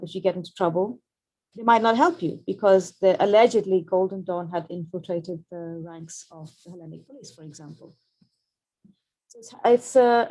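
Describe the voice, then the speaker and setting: A woman from Pakistan, a journalist, giving an earnest, measured talk over a video call. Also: mid-range voice, a little mellow, low-quality recording. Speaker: journalist, panel speaker. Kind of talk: prepared webinar talk.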